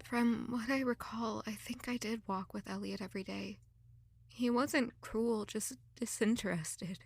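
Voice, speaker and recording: High-voiced, wistful young runaway woman. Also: fairly even intonation, measured pace, gravelly, soft background music. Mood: wistful, subdued. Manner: dramatic, anguished self-questioning. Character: self-blaming young runaway woman, wistful and highly emotional. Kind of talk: audio drama acting with reflective narration.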